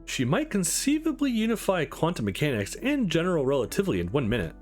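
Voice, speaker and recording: A man voicing a measured, authoritative scientist in a visual novel, speaking in a precise, formal manner. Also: a little high, huge pitch swings, darkish tone, soft background music. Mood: clinical.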